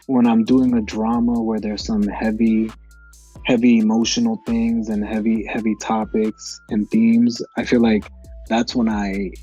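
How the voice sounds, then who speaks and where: A man's voice: lowish voice, dark tone, breathy edge, muffled recording. A man in his twenties, an actor from Massachusetts, answering interview questions casually with many fillers and restarts. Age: 20s. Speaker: actor guest.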